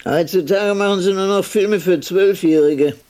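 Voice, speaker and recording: An actor in a radio drama playing an impatient elderly man who cares for a bedridden partner, weary, brisk and chiding. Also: high-pitched, warm tone, lightly acted. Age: older.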